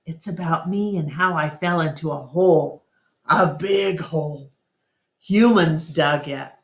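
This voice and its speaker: A woman's voice: low-pitched, measured pace. A woman voicing an eager, talkative young female elephant who tells stories in an earnest, childlike way. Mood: earnest, excited. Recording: roomy, echoing room sound.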